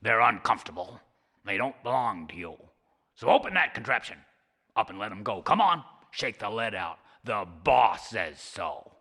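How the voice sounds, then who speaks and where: A man doing a surly, gruff sea serpent. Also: on the low side, big pitch swings, moderate pace, sharp tone, in a large hall, heavily acted. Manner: gruff, menacing.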